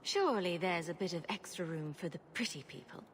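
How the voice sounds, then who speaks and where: A woman's voice: on the low side, lively intonation, a little fast, slight background noise, lightly acted. An actress playing a wry young woman refugee in a video game cutscene, dry and sarcastic.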